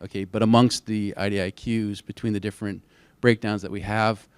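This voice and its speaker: A man with a fairly low voice answers questions as a contracting officer at an industry day. He hedges carefully and often restarts his sentences. The sound is dry and close. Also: level tone, fairly quick, clear, resonant tone, no room sound.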